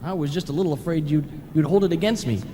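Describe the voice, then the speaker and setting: A man's voice: a little high, fast, mellow, close to an everyday voice. A man acting a mischievous, self-effacing old ghost with folksy small-town speech in an old-time radio play. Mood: wry, confiding. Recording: reverberant, noisy analogue tape of radio play.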